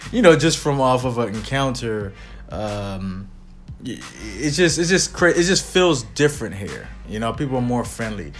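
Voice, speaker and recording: A man's voice: mid-range voice, big pitch swings, moderate pace, faint music behind. A man in his thirties, chatting casually as a co-host and rambling with frequent fillers. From United States. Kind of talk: casual chat.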